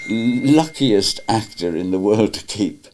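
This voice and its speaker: Older man, slow and playful, wide-ranging pitch, gently ribbing a colleague. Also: lively intonation. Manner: teasing, mock-scolding, chuckling. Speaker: panto writer and dame. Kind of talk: teasing reminiscence.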